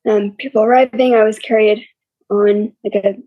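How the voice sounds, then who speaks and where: A young woman with a scratchy, quiet voice, openly telling her story as an interview guest in a plain, matter-of-fact way. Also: mid-range voice, steady tone, normal speed, no echo, phone-quality sound. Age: young adult. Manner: open and matter-of-fact, listing hardships plainly. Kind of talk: candid personal storytelling.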